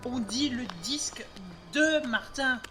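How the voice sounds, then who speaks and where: A woman's voice: sits high, slow, over music. A woman playing an anxious, prim little boy who frets and corrects his big brother in an audio drama. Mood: prim, whiny. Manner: whiny, correcting, fretful. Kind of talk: French audio drama acting.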